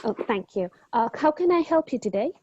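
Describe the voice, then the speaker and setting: Woman playing the doc in a mock exam, hesitant, mellow voice, muffled call audio. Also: fairly high pitch, expressive, fairly quick. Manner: polite, hesitant, many fillers. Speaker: candidate doctor in a mock exam. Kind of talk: clinical history taking in a role-play. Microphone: video call.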